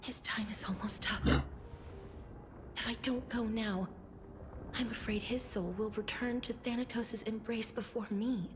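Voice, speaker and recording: A woman playing a gentle, solemn young woman who tends to death in a video game, speaking softly and often in a whisper. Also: on the high side, fairly even intonation, unhurried, long pauses, lightly acted. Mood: calm, grave. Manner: soft, breathy, often whispering. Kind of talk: dramatic video game voice acting. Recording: game music behind the voice.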